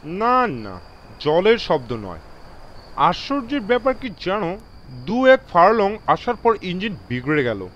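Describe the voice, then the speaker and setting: Railway guard, acted by a man: alarmed, commanding, emphatic, very animated, dull-sounding horror radio play track. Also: sits high, a little slow. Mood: uneasy, amazed. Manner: emphatic, mixes English phrases into his speech. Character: alarmed, authoritative railway guard telling of strange events. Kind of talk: dramatised horror audio story.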